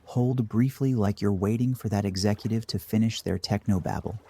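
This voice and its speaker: Dark, mellow low-voiced man guiding meditation. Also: moderate pace, slight background noise. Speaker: guided meditation host. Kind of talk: scripted guided meditation narration.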